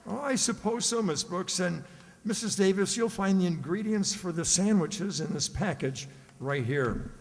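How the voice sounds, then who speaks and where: Heard with a long hall reverb, an actor plays a middle-aged male school principal in a radio comedy. His voice sits a little high. He is pompous and formal, hemming and hawing as he goes. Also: lively intonation, normal speed. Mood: flustered, formal. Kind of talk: amateur stage-style old radio comedy acting.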